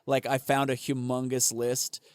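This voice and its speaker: A man co-hosting a podcast in casual banter, excitable and profane, with lots of stammered restarts. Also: medium pitch, a little slow, no echo. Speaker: podcast co-host.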